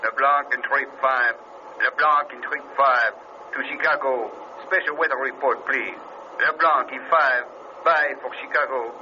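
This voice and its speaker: Man playing an excitable co-pilot, noisy radio. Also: mid-range voice, measured pace, natural voice. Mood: businesslike. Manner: excitable, urgent. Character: agitated, questioning French-speaking male airline co-pilot. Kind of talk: 1930s radio horror acting. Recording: noisy muffled radio, aircraft drone behind.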